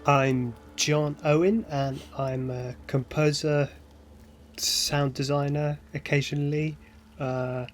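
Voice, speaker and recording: A man's voice: fairly high pitch, flat delivery, takes it slowly, slight background noise. A man speaking casually and hesitantly as a composer guest, with a self-deprecating manner. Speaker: guest, composer and sound designer.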